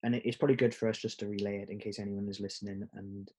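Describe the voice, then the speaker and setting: A man's voice: lowish voice, little pitch movement, rapid-fire, darkish tone, slightly rough. A man hosting a casual recorded chat over a video call, hesitant and full of ums. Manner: hesitant, many ums and fillers. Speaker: host.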